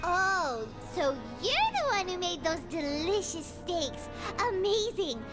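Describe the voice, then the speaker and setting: A woman voicing a tiny, childlike female fairy companion in a video game, curious and excitable. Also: extremely high pitch, slow pace, heavily acted. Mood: delighted. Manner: exclaims, speaks of self in third person. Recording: game music behind the voice.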